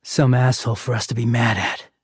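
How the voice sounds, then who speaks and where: Husky, sarcastic slacker boyfriend. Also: male voice, medium pitch, flat delivery, brisk, no room sound, clean studio sound. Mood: smug, aroused. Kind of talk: video game character acting.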